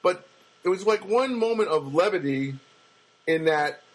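Booming man with a halting, heavy delivery. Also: animated, low-quality recording. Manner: halting, many fillers and restarts, emotional. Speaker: storyteller recounting a personal loss. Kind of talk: casual spoken monologue.

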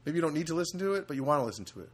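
A man hosting a casual solo podcast from a studio apartment, rambling and halting with many fillers. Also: a little high, sing-song. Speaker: podcast host.

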